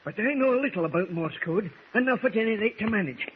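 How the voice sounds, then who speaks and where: A man's voice: high-pitched, expressive, fast talker. An actor playing an earnest older Scottish sailor in a radio adventure, exclaiming and fussing as he repeats himself. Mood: determined, hurried. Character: old Scottish sailor, earnest, canny and loyal. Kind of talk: 1940s radio adventure acting. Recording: tinny, hissy old radio recording.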